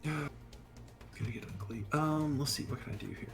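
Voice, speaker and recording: A man's voice: on the high side, normal speed, creaky. A man chatting casually as a player in a tabletop roleplaying game. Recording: music behind the voice. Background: music.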